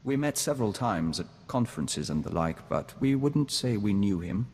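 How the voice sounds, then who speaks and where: Game companion: composed hive-joined diplomat man, low register, formal and earnest, heavy reverberation. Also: very animated, normal speed, darkish tone. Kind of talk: scripted video game companion dialogue. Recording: long reverb, audible background noise.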